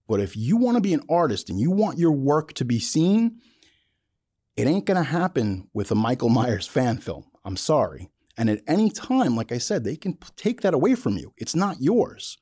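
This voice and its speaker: Animated, mellow-voiced man talking bluntly. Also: mid-range voice, normal speed, slightly rough, no echo, clean studio sound. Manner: emphatic, blunt, colloquial. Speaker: podcast host. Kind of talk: opinionated casual monologue about filmmaking.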